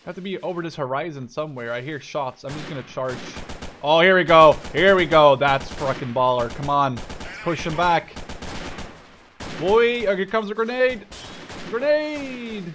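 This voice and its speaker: A man's voice: fairly high pitch, normal speed, fairly bright tone, slight background noise. A young man giving casual, informal let's play commentary on a game. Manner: informal, sweary. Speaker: gaming video creator.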